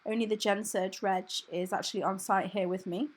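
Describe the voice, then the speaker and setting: A young woman, a junior hospital doctor, talking casually to camera in a vlog. Her voice is croaky from a cough and she sounds tired, with many ums. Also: medium pitch, fairly even intonation, fairly quick. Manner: chatty, tired, filler-heavy.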